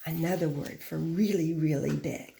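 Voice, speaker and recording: A woman reading a picture book aloud like a teacher, with simple, instructive phrasing and expressive stress on key words. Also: sits low, moderate pace, creaky. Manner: simple, instructive phrasing aimed at young children. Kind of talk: animated children's picture book read-aloud. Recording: echoey room.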